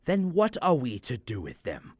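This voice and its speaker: A man playing a sinister, gloating necromancer cleric in a fantasy audio drama, husky and breathy, with a menacing, theatrical delivery. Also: mid-range voice, huge pitch swings, normal speed, darkish tone, dry recording, studio quality. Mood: coolly questioning.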